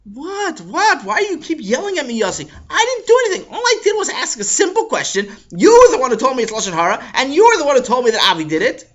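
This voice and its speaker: A man voicing Sammy, a pushy, excitable schoolboy who pesters and protests his innocence. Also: fairly quick, lo-fi sound, full character voice. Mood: indignant. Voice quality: high, bright, loud.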